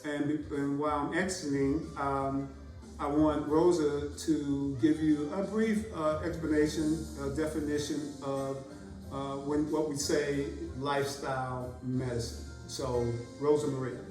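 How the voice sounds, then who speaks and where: A man's voice: fairly high pitch, flat delivery, over music. A man hosting a casual podcast, his talk full of "uh" fillers. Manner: halting, many "uh" fillers. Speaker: podcast host. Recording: roomy sound from a distant mic.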